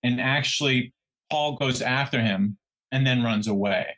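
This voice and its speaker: A man's voice: mid-range voice, a little slow, a bit bright, rough recording. Male true crime co-host. Conversational storyteller who stumbles, backtracks and corrects himself as he goes.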